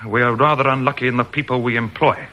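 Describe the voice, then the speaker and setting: An actor playing a flustered, irritable department store manager in a radio drama, formal and fussy in manner. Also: male voice, medium pitch, fairly even intonation, fast, pushed and bright, muffled recording, lightly acted. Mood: apologetic. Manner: obsequious to customers, curt to staff.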